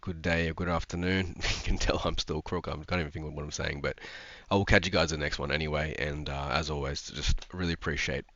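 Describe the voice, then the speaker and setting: A man's voice: a bass voice, steady tone, quick pace, hardly any pauses, pushed and bright, no room sound, dull audio. A young man, stuffed up from a cold, chatting casually about sim racing with lots of ums and restarts. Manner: rambling, frequent ums and restarts. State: congested from a cold. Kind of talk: casual commentary to camera.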